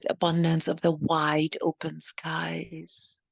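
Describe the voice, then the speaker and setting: A woman with a lowish voice guides a meditation very slowly and solemnly. Her phrasing is deliberate and incantatory, with gravelly creak and breathy, whispery softness, like a channeled healer addressing listeners. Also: completely flat delivery, no room sound. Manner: halting, deliberate phrasing with repeated lines.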